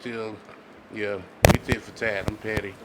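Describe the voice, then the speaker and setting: A man's voice: lowish voice, little pitch movement, measured pace, heavy background noise. A man speaking casually as a panelist on a podcast, in loose slangy banter. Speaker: podcast panelist and rap artist.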